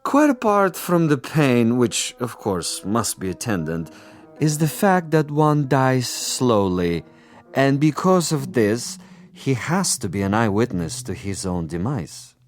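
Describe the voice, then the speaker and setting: An actor playing a suave, menacing commissar in a radio drama, urbane and mocking with elaborately formal diction. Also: male voice, mid-range voice, big pitch swings, slow, soft background music. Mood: smug, urbane. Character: suave, menacing male commissar interrogating a former prisoner.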